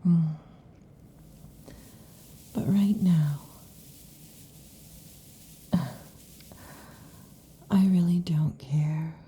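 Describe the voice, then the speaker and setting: A woman voicing a restless, affectionate female lamia in an ASMR roleplay, whispering softly. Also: on the low side, drawn-out words, long pauses, husky, exaggerated voice. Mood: whispery, intimate. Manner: soft intimate whispering. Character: restless affectionate female lamia, snake-tailed monster girl.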